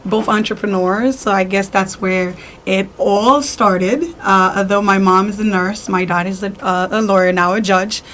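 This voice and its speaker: A woman's voice: medium pitch, normal speed, few pauses, a little hiss or hum. A woman in her forties from Haiti, a boutique owner and stylist, telling her story in an interview and acting out what her customers say. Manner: animated storyteller, acts out customers' lines.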